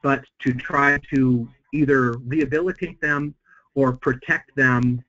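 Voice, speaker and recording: A man speaking at a middle pitch, slightly hoarse from a cold, presents on a webinar. He talks in a halting way, with frequent fillers and restarts. The audio is a little dull and muffled. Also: fairly even intonation, pushed and bright. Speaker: webinar presenter, center president.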